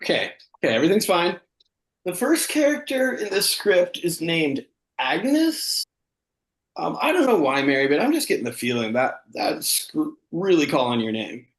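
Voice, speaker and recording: Echoey deadpan male livestream comedy host. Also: fairly high pitch, moderate pace. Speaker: co-host of a live comedy show. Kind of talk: casual comedic hosting and banter. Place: online video call. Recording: roomy, echoey sound.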